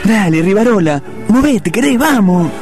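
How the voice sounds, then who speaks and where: Male actor as helpful Rioplatense sidekick, high, animated delivery, comic radio drama. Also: normal speed, soft background music. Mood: urging. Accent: Argentine Rioplatense. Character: loyal, helpful male pal of an old man. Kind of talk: comic radio play acting.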